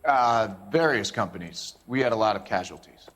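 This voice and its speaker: An actor playing an evasive, smooth-talking businessman under questioning in a game, guarded and politely hedging. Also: lowish voice, animated, moderate pace, a bit bright, a little hiss or hum. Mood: defensive. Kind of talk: dramatic interrogation dialogue.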